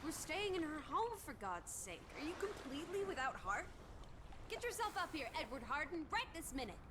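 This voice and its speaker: Game role: headstrong young woman, high and very lively pitch, theatrical, bossy, inquisitive. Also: moderate pace, background noise. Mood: indignant, goading. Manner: bossy, theatrical, teasing and scolding. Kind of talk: video game drama acting.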